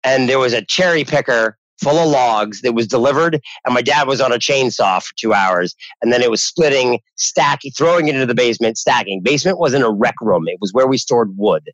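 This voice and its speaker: A man's voice: medium pitch, animated, brisk, hardly any pauses, fairly bright tone, no echo. Rambling man with a dull, dry sound. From New Hampshire. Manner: rambling, many restarts and repeated words. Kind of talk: casual tech podcast chat.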